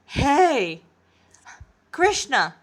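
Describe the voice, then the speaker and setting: A woman's voice: high voice, sing-song, very slow, pitched above the performer's own voice, exaggerated voice. A woman voicing a sarcastic, exasperated young male hero who grumbles informally in a storybook reading. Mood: indignant.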